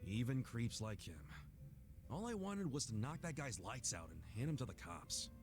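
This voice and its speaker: A man playing an earnest, soft-hearted street brawler in a video game cutscene, speaking frankly and informally. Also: medium pitch, sing-song, moderate pace, lightly acted. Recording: music under the dialogue.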